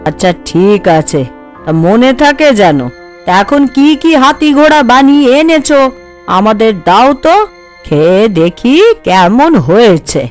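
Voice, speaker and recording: A woman's voice: fairly high pitch, big pitch swings, measured pace, darkish tone, soft background music. A woman voicing an older, bossy, thrifty mother who scolds and frets over her family in a cartoon. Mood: stern, grudging. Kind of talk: Bengali cartoon voice acting.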